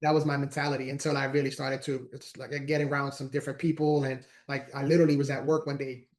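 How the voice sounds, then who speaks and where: Brief: forties man, earnest motivational speaker guest, quick flat delivery. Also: mid-range voice. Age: 40s. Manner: earnest, repeats words while talking. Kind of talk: casual interview conversation.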